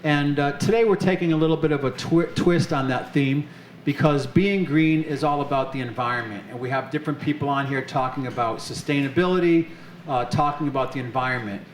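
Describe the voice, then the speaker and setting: A man's voice: a little high, fairly quick. A man hosting a live show on stage, chatty and upbeat, with many fillers. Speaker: host. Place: off-center stage of an arts center, live audience. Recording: echoey room with faint noise.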